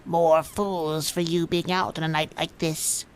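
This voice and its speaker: A woman playing a surly, mad old hermit crone with a witchy, drawn-out, menacing delivery in old-time radio horror style. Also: deep voice, level tone. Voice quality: witchy, cackling.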